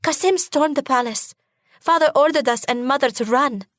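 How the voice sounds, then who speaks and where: A woman's voice: high-pitched, moderate pace, no room sound, studio quality, pitched above the performer's own voice, natural voice. A woman voicing a frightened young princess who pleads in a quivering voice. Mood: pleading, fearful. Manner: quivering, begging.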